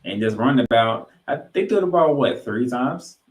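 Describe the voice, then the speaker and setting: A man's voice: medium pitch, animated, normal speed. Emphatic male podcast host in an echoey room. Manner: emphatic, asks rhetorical questions, repeats himself for effect. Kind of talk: casual football preview banter. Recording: roomy echo on voice.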